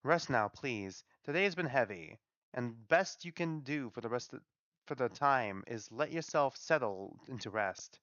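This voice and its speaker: A man acts out a formal, motherly godmother of the dead from a story. The voice is smooth and soothing, with expressive pitch and a dry sound. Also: a little slow, no echo, highs cut off. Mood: tender, soothing. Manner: courteous, soothing, ceremonious. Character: gentle, formal, motherly female keeper of the dead. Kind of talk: dramatic read-aloud of a story.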